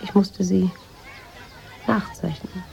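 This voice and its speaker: An actress in a German radio drama playing a wistful, introspective woman writer with a measured, restrained delivery. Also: medium pitch, steady tone, vocal fry, a little mellow. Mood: reflective, melancholy. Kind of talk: German radio drama, intimate narration and dialogue.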